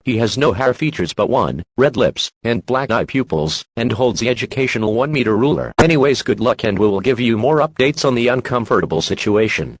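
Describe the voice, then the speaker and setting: A man reading a scripted emergency broadcast announcement in a formal, measured, official manner. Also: medium pitch, lively intonation, breathy edge.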